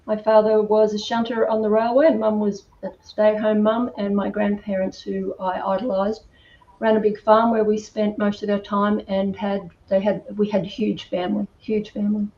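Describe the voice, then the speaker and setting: A woman's voice: medium pitch, level tone, moderate pace. An older woman from Australia with an Australian accent, chatting casually as a podcast guest and telling personal stories. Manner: chatty, reminiscing. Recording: muffled, distant echoey room, faint noise.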